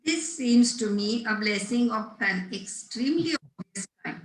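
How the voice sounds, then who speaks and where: A woman's voice: on the high side, animated, measured pace. A woman playing a prim governess, echoey room. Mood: disapproving. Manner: prim, moralizing. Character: prim, severe, disapproving Victorian governess. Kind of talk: amateur play table read.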